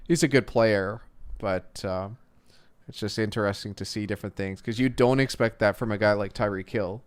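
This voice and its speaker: A man's voice: on the low side, normal speed, smooth. A man co-hosting a sports stream, explaining his points casually with frequent asides. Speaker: sports livestream co-host. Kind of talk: casual analytical sports and betting chat.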